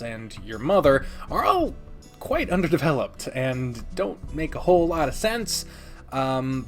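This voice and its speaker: A man speaking as an irreverent, exclamatory reviewer in a casual commentary style, swearing freely. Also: medium pitch. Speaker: video game reviewer and host of a ranking video.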